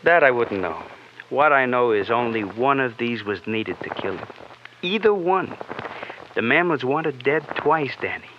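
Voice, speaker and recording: An actor playing a nervous, philosophical male medical examiner, solemn and halting, stressing by repetition in 1950s radio drama style. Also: mid-range voice, animated, natural voice. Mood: morbid, intense. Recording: noisy, muffled old radio recording.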